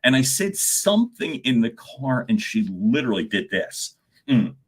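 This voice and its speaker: A middle-aged man with a loud voice, coaching on a live stream and swinging his pitch and volume as he talks to viewers. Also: moderate pace, slightly rough. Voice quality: loud speaking voice. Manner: animated, swings pitch and volume, chuckles often.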